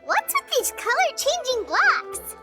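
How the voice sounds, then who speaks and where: A woman voicing a tiny, excitable childlike fairy companion in a video game, with a squeaky voice and exclaiming manner. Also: a little slow, exaggerated voice. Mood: curious, excitable. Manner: refers to self in third person, exclaiming. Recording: loud game music behind.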